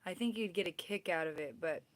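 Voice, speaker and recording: Chatty woman in her thirties, lowish voice. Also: fairly quick. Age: 30s. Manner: rambling, chatty, addresses viewers directly. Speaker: livestream host. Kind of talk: casual livestream chat.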